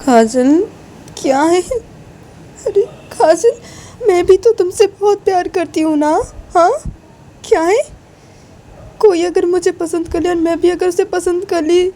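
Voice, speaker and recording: Casting: woman playing high-voiced, mellow young wife for audio drama; crying, wounded, insistently pleading. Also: measured pace. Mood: tearful, loving. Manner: pleading, repeats herself insistently. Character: hurt, tearful young wife, loving and indignant.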